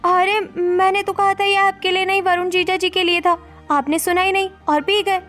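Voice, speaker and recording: A mischievous young girl, played by a woman, pitched extremely high. She teases and cajoles like a naughty little sister in a cartoon story. Music plays underneath. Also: little pitch movement, normal speed, fairly bright tone. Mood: defensive. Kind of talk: Hindi cartoon story acting.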